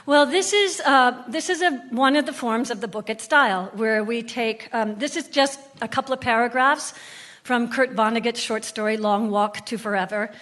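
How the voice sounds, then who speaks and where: Female theatre guest, higher-pitched voice, enthusiastic and emphatic in live interview. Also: normal speed, fairly bright tone. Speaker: guest, book-to-stage theatre director. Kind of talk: animated stage interview. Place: on stage before a live audience.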